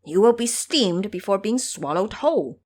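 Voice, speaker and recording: Woman as a blunt, exasperated, animated woodcutter. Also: medium pitch, moderate pace, natural voice. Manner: scolding, emphatic. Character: blunt, exasperated male woodcutter warning travelers. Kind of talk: audio drama storytelling.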